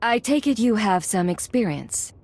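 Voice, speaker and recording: An actress playing a cool-headed secret agent who leads a heist crew in a video game, giving clipped, decisive orders with control. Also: female voice, medium pitch, lively intonation, moderate pace, a little mellow. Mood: confident, commanding.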